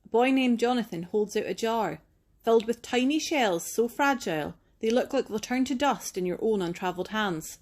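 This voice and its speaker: A woman reading a picture book aloud in a gentle, measured, expressive storytelling manner, like a teacher at storytime. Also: fairly high pitch.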